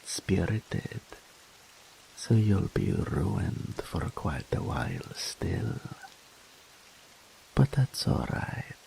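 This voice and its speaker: A possessive male incubus demon, played by a man, speaking in a low, gravelly voice. He draws out every word at a languid crawl and often sinks into a breathy whisper. It plays as a doting, dominant demon in an audio roleplay. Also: darkish tone, slight background noise, heavily acted, poor audio. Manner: slow, purring, frequently dropping to a whisper.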